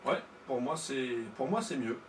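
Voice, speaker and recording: A man's voice: mid-range voice, lively intonation, measured pace. French ham operator, man in a roomy space. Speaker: amateur radio operator. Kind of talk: casual ham radio conversation. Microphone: studio-style mic through tube preamp. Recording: roomy sound with faint noise.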